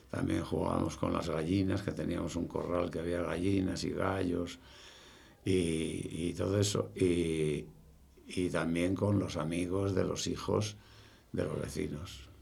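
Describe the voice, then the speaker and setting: Older man, early 70s, Castilian accent, deep and very gravelly, halting, informal recollections. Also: fairly even intonation, darkish tone. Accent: Castilian Spanish. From Spain. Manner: halting, repeats words as he recalls. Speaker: interviewee. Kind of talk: casual reminiscing.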